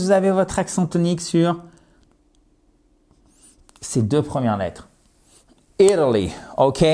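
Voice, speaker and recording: A French-speaking man gives an English lesson to the camera in a mid-range voice. He stresses and spells the English words slowly and keeps asking his viewers whether they follow. His voice sometimes drifts off the mic as he moves around. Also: medium pitch, darkish tone. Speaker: English teacher. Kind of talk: video lesson, explaining to viewers.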